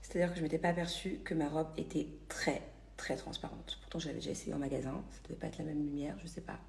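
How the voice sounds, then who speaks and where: A woman's voice: sits low, fairly even intonation, quick pace, creaky, a little mellow. A woman from the Paris region casually telling stories to her followers on a phone, with many fillers and restarts. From Paris region, France. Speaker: vlogger.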